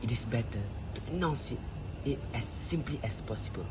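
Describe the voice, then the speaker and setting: A man playing a troubled, cautious old villager in an amateur stage drama, speaking in deliberate, halting phrases. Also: mid-range voice, lively intonation, lightly acted. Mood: anxious, grave. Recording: noisy ambient background. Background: clear ambient noise.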